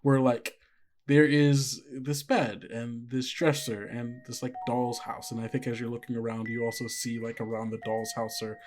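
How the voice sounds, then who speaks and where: A game master improvising horror narration for a tabletop game in a halting, conversational way. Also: mid-range voice, little pitch movement, background noise. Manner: halting, conversational, frequent 'like' and 'you know'.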